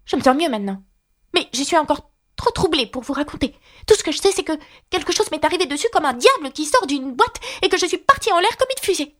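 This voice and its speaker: A woman voicing a small, weak, flustered storybook lizard with a feeble, squeaky voice. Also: sing-song, fast talker, a bit bright. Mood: dazed, shaken. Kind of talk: storybook character reading.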